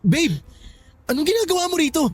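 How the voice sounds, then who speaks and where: An actor playing a cheating young husband in a radio drama, a smooth-talking and secretive lover. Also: male voice, very high-pitched, very animated, a little fast, background noise. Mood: startled. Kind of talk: Filipino radio drama acting.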